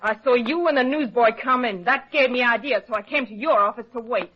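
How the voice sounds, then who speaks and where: Actress as a high-voiced, brazen femme fatale. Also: female voice, fairly quick, lightly acted. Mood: coolly matter-of-fact. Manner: taunting, coy. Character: brazen, flirtatious armed female villain, coolly threatening. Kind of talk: old-time radio detective drama acting. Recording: dull, lo-fi old radio recording.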